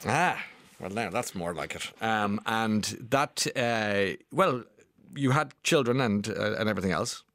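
A man hosts morning radio, reading out texts and bantering with callers. His voice sits on the low side. The sound is close and dry. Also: expressive, measured pace, no echo. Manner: chatty, warm banter with callers. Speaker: radio host.

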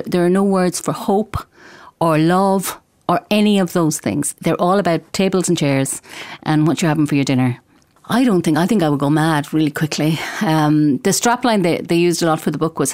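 A woman from Ireland, an author earnestly explaining her book with thoughtful pauses and hesitations. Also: deep voice, a little mellow, no echo, studio quality. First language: Irish.

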